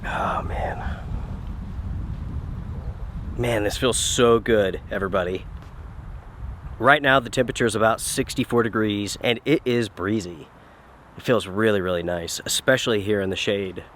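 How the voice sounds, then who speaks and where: A man's voice: lowish voice, unhurried, long pauses, slight background noise. A man getting over the flu, sounding nasal, chatting casually to viewers from an outdoor campsite. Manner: relaxed, chatty, addresses viewers directly. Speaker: outdoor vlogger. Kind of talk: casual talk to camera. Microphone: camera-mounted shotgun mic.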